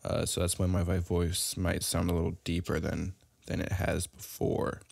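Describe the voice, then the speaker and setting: A young adult man giving a casual online business lesson, halting often with fillers and restarts. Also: low-pitched, little pitch movement, creaky, darkish tone, no echo. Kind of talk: casual instructional talk.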